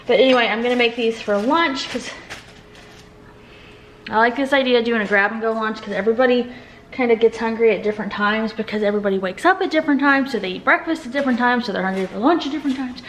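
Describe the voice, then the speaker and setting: A woman's voice: fairly high pitch, slight background noise, rough recording. A woman vlogging as a mother at home, talking casually in rambling, conversational asides. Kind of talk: casual vlog chat.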